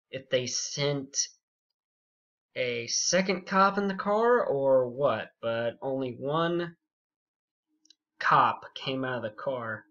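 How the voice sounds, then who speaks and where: A young man telling a story casually and unscripted, rambling and halting, with frequent asides. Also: medium pitch, fairly bright tone. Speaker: YouTube storyteller. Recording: dull, muffled lo-fi audio.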